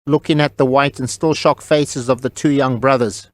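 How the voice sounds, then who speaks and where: Male audiobook narrator, mid-pitched, scripted storytelling delivery, close and dry recording. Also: steady tone, moderate pace, no room sound.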